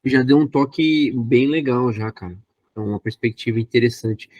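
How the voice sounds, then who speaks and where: A man's voice: mid-range voice, normal speed, darkish tone, no echo, highs cut off. A man hosting a casual interview, mostly giving brief, encouraging remarks to his guest. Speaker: podcast host.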